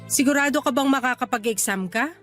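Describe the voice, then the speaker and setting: High-pitched, scolding Filipino older sister. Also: female voice, fairly quick, a bit bright. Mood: suspicious, probing. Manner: stern, interrogating, scolding. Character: angry, scolding older sister who supports her siblings. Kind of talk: Tagalog radio drama acting. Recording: faint music behind the voice.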